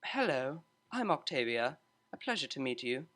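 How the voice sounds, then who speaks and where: A man's voice: mid-range voice, very animated, moderate pace, a bit bright. A man voicing a polite, refined young female pony cellist with formal, precise and proper speech in a storybook reading.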